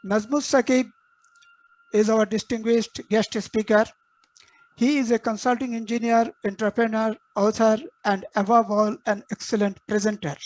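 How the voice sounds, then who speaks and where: A woman's voice: a little high, fairly even intonation, normal speed, a little mellow, dry recording, low-quality recording. A woman hosting an online meeting, formally calling on attendees by name and introducing the speaker. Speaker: meeting host. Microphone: video call.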